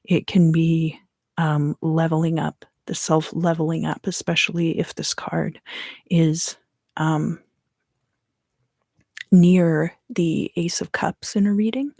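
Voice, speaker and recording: A woman speaks in a low, husky hush with some creak, often slipping into a whisper. She gives an unhurried ASMR tarot reading in short, explanatory phrases. The sound is dry and intimate, right up on the microphone. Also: fairly even intonation, no room sound. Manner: soft-spoken, often dropping into whispers. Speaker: tarot reader and ASMR host. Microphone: close microphone.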